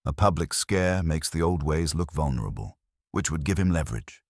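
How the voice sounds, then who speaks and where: Very deep-voiced man narrating detective fiction. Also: lively intonation, moderate pace, no echo, studio quality. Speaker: audiobook narrator. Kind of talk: scripted first-person detective story narration.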